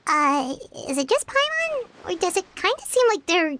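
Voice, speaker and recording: A woman voicing a small, childlike, excitable companion sprite in a video game, with a squeaky voice and a flustered manner. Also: full character voice. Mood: concerned. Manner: flustered, halting, refers to self by name. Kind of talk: animated video game voice acting. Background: music.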